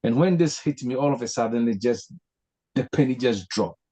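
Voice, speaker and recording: A man's voice: medium pitch, lively intonation, warm tone. Earnest man asking searching questions. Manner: earnest, posing long searching questions with many pauses. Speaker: host leading a discussion. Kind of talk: reflective spiritual discussion.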